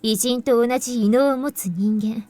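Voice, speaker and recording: A woman voicing a composed, serious young heroine in a visual novel, speaking in a measured, explanatory way. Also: fairly high pitch, dark tone, lightly acted. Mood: serious, explaining. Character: composed young woman revealing grave secrets, anime game heroine. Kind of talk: Japanese visual novel voice acting. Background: game music.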